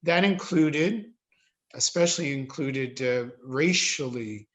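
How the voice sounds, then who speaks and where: A man in his fifties with an American accent, giving reflective interview answers in a thoughtful, halting way and repeating words for emphasis. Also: mid-range voice, a bit bright. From suburban New Jersey, United States. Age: 50s. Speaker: interviewee, Jesuit priest.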